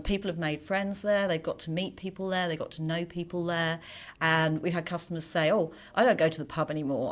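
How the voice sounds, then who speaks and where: Low-voiced businesswoman. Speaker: café business owner. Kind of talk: recorded interview clip.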